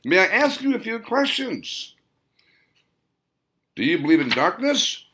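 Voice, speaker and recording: A man's voice: sits high, sing-song, pushed and bright, a little hiss or hum, voice pushed up, heavily acted. A man voicing a lecturing scholar. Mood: probing. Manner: earnest, explanatory, measured.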